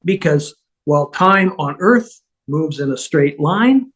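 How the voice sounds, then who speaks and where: A woman reading the part of a flustered, apologetic male otherworldly schemer, husky and halting as she stammers through explanations. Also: low-pitched, animated, heavily acted. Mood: flustered explaining. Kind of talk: amateur script table read.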